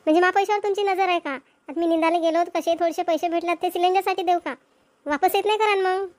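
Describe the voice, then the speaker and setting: A woman's voice: very high voice, fairly even intonation, fast talker, no echo. A woman playing a practical, nagging village wife and mother in a cartoon comedy, with a Vidarbha Marathi accent. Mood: indignant.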